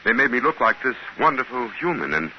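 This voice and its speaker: An actor playing a polite, deferential young alien who passes as human, in 1950s radio drama style on a muffled, hissy recording. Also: male voice, mid-range voice, sing-song, fast talker, natural voice. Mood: polite, eager.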